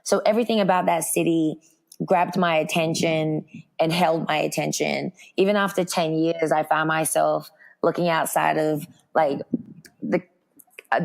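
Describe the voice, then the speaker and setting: A hoarse woman in her thirties with a raspy, softened Australian accent, chatting as an interview guest with frequent ums. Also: low-pitched. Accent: Australian, softened by years in the US. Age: 30s. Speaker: guest, fitness speaker. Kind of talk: casual interview chat.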